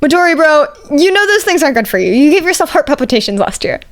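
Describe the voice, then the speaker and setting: A woman's voice: sits high, big pitch swings, quick pace, voice pushed up. A woman voicing a laid-back, caring young male college buddy with a casual, coaxing manner. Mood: concerned, gentle.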